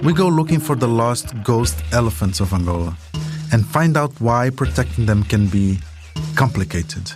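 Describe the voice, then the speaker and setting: A man's voice: medium pitch, lively intonation, moderate pace. Male documentary podcast narrator over music.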